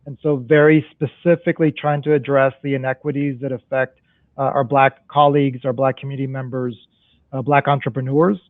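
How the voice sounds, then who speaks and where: A man's voice: mid-range voice, flat delivery, brisk, no room sound. A man on a discussion panel, a banker explaining community development finance step by step, often restarting his sentences and adding "right?". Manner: explanatory, many restarts and tag questions. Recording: dry, phone-like remote audio.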